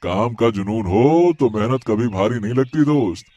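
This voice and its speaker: An actor playing a hearty, supportive village farmer in a Hindi cartoon story, speaking emphatically. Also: male voice, mid-range voice, lively intonation, moderate pace, mellow, dry recording, dull audio, natural voice. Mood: confident. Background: background music.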